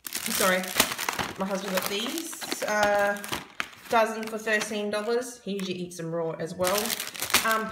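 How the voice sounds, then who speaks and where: A young Australian woman chatting casually through a grocery haul, rambling with lots of ums, filmed on a phone at home. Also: mid-range voice. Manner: chatty, many ums, rambling price tallies. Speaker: vlogger. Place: home kitchen, indoors. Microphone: phone camera held over the counter. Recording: echoey room with loud background noise. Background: husband and dog heard.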